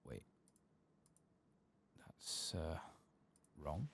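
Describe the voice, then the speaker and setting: Male ASMR game streamer: extremely low, creaky voice, soft and murmuring, close-miked. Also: sing-song, a little hiss or hum. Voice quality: soft, partly whispered. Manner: unhurried, murmuring to himself. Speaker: gaming streamer. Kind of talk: soft-spoken ASMR gameplay commentary.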